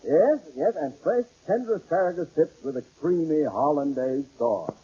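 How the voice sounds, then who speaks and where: A hearty, needling older gentleman in a vintage radio comedy. His voice is very husky and mellow, with big swings in pitch. The sound is dull and old. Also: lively intonation, moderate pace. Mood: relishing. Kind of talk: 1940s radio sitcom acting.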